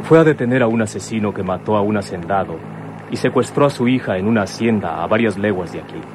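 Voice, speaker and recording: A man acting a courteous, serious son in a radio drama. His voice is low and measured in tone, with faint traffic-like noise behind it. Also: lively intonation, close to an everyday voice. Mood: explaining, defensive. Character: sober, courteous adult son of a police commissioner.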